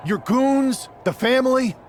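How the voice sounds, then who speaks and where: A man's voice: extremely high pitch, measured pace, a little hiss or hum. A man voicing a proud wrestler, cocky and confrontational. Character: proud man wrestler, top draft pick.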